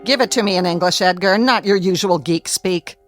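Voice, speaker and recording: A woman playing a sharp-tongued, domineering wife in a radio drama, bossy, sarcastic and exasperated. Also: lowish voice, a little fast, soft background music, natural voice. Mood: sarcastic, impatient.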